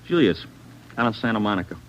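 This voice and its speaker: An American actor playing a defiant twenty-one-year-old street tough in a 1940s radio crime drama, insolent and slangy. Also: male voice, on the low side, animated, fast, fairly bright tone. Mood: sullen, scornful. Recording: muffled old radio recording.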